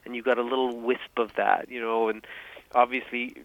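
An Irish man in his fifties, a guest on an interview podcast, talking in a halting, reflective way with many restarts. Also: mid-range voice, little pitch movement, fairly bright tone, no echo. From Ireland. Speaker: guest, film historian. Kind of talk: casual interview. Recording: tinny phone line.

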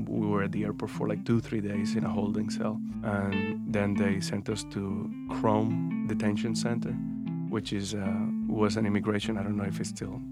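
A man, telling his own life story in a thoughtful, unhurried way full of pauses and fillers. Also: on the low side, creaky. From Cuba. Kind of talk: personal first-person storytelling.

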